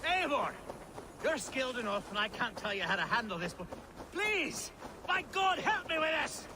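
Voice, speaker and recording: A man's voice: very high voice, sing-song, moderate pace, background noise. An actor playing a nervous, chatty, rambling Anglo-Saxon nobleman in a video game cutscene. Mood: panicked. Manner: rambling, fussy, flustered, effusive.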